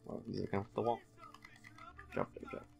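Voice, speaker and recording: A man's voice: on the low side, flat delivery, a little fast, vocal fry, a little mellow, slight background noise. A man giving casual, laid-back, joking gameplay commentary to a friend, thinking aloud as he plays. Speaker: let's play host, playing the game.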